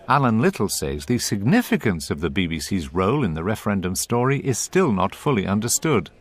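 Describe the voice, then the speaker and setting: A man's voice: on the low side, big pitch swings, fairly quick, a little hiss or hum. A man presenting a radio programme, reading scripted links and putting questions to interviewees.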